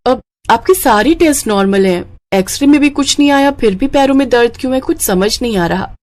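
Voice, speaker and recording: A woman voicing a kind, dutiful young doctor in a cartoon story, speaking earnestly and gently. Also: on the high side, lively intonation, a little fast, pitched above the performer's own voice, lightly acted. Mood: puzzled. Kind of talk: Hindi cartoon moral story acting.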